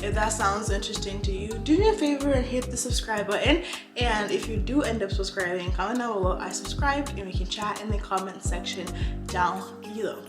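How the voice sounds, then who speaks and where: A woman's voice: medium pitch, fairly quick, reverberant, over music. A seventeen-year-old girl from the USA talking casually to camera about money, with lots of "like" and "I don't know". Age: teens. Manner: chatty, full of "like" and "I don't know". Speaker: finance YouTuber.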